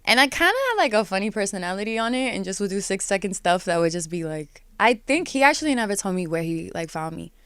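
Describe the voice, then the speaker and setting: A woman in her twenties from Miami, chatting casually as an interview guest, relaxed and laughing, with many filler words. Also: mid-range voice, quick pace, few pauses, clear, resonant tone, dry recording, clean studio sound. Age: 20s. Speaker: guest artist.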